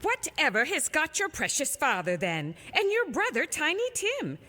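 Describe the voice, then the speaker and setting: A woman's voice: high-pitched, huge pitch swings, moderate pace, pushed and bright, exaggerated voice. A woman playing an affectionate, fussing working-class mother in a radio drama, full of exclamations.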